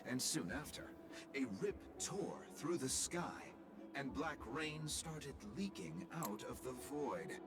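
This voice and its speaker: A man voice actor playing a distrustful, demanding guest in an anime game, formal and stern in delivery. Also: fairly high pitch. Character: indignant wealthy male guest, distrustful and demanding. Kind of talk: scripted anime game dialogue. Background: game music.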